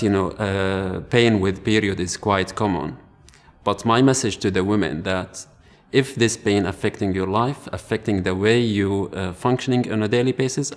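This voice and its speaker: Male specialist, lowish voice, earnest and hesitant delivery. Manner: earnest, halting, many fillers. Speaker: medical specialist. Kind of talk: explanatory interview talk.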